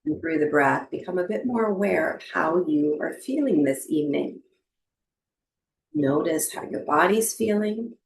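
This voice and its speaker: A woman teaching yoga nidra and breathwork, giving guided meditation instructions in a gentle, soothing manner with long pauses. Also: fairly low pitch, lively intonation, vocal fry. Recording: roomy, echoing voice recording.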